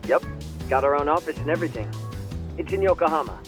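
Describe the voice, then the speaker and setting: A man's voice: on the high side, expressive, quick pace, lightly acted. A man playing an upbeat, friendly young detective with a casual voice in a video game cutscene. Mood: friendly pride. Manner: casual, breezy. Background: music.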